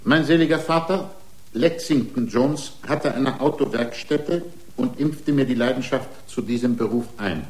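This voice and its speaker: An actor playing a proud, defiant racing driver on trial in a German radio drama, emphatic and combative. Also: male voice, medium pitch, unhurried, darkish tone, close to an everyday voice. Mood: boastful. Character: proud, defiant male racing-driver defendant, indignant braggart.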